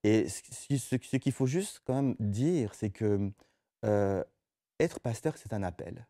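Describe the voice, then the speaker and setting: A man's voice: lowish voice, lively intonation, slow pace, warm tone, no room sound, studio quality. A middle-aged man from Belgium, speaking conversationally as a pastor being interviewed, often hesitating and repeating words as he explains. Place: TV studio set.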